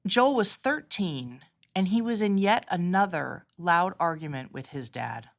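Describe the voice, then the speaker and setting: A woman hosting a podcast with measured, articulate scripted narration and interview questions. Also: on the low side, lively intonation, gravelly. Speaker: podcast host, healthcare executive.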